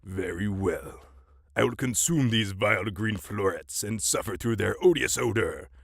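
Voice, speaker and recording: A man acts out a ten-year-old evil genius with a lowish voice and deliberate pace. He is pompous and theatrical, relishing elaborate words like a miniature mad scientist in a radio play. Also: creaky, fairly bright tone, husky, dry recording, clean studio sound, exaggerated voice. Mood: disdainful. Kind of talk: audio drama acting.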